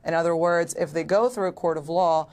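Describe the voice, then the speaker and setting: Low-voiced woman explaining law. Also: darkish tone. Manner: measured, precise legal phrasing with frequent ums. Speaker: international law lecturer, panel guest. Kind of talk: expert explanation on a TV panel.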